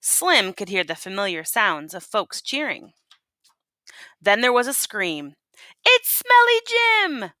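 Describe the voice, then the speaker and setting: A woman teacher reading a storybook aloud to children and pausing to ask them questions. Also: mid-range voice, expressive, sharp tone, dry recording.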